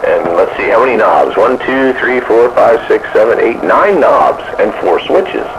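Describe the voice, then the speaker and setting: A man's voice: fairly low pitch, sing-song, unhurried. A man chatting casually as a radio hobbyist during an on-air CB round table. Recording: noisy, muffled CB radio audio.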